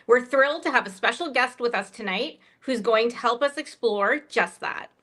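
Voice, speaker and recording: A woman co-hosts a talk show and asks the guest her questions in a calm, measured way. Her voice is a bit higher than most. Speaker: co-host.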